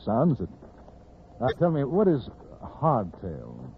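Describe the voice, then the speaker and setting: An actor playing an urbane, wry gentleman traveler, articulate and formal, in old radio drama style. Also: medium pitch, wildly swooping pitch, slow pace, gravelly, exaggerated voice. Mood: curious. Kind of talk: 1950s radio drama narration and dialogue. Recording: tinny, hissy old radio recording.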